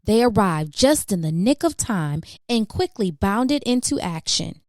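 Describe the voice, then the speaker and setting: Expressive, mellow woman reading a storybook. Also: medium pitch, dry recording, clean studio sound. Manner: measured, dramatic emphasis for children. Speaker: children's book reader.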